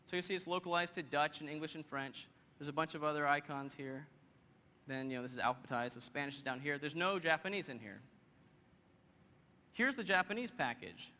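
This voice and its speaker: Male presenter, 30s, bright, punchy stage voice, lively demo delivery, big hall with long reverb. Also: on the high side. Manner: energetic, quick and joking with the audience. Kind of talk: live software demo on stage. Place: large conference hall stage. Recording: hall reverb, faint noise.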